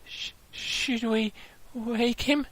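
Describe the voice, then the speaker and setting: Male reader doing a timid, hesitant young woman, high and soft. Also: fairly even intonation, extremely slow, exaggerated voice.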